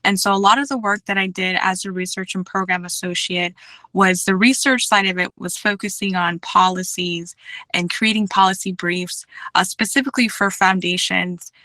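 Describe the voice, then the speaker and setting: A woman candidate talks through her past roles on a video call. Her voice is bright and clear, and she chooses each word with care, with many ums and restarts. The sound is close and dry. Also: medium pitch, fairly even intonation, no echo. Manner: measured, many fillers, careful restarts. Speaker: job candidate. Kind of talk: formal job interview answers.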